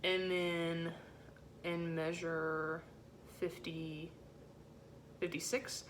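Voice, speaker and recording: A woman with a low-set voice giving an informal music rehearsal lesson as a choir section leader, in a casual, encouraging way with hesitant phrasing. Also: flat delivery, drawn-out words, a little hiss or hum. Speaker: choir section leader teaching alto parts.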